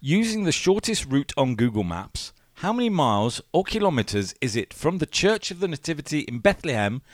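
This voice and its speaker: Playful man with lively voice. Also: on the high side, moderate pace, no echo, clean studio sound. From England, London. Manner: playful, jokey. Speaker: podcast co-host. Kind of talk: casual chat.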